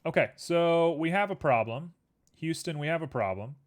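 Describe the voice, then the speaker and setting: A man's voice: a little high, moderate pace. Laid-back man chatting while he works. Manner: relaxed, rambling, talks to chat and to objects on screen. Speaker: streamer. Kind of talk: casual live-stream chat while 3D modeling.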